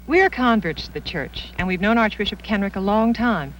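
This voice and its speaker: An actress playing a genteel, devout professor's wife, speaking in a proper, eager way in an acted interview. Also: female voice, mid-range voice, animated, brisk, recorded from a distance, a little hiss or hum, natural voice, low-quality recording. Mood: pleased, devout. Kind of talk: acted documentary-style interview.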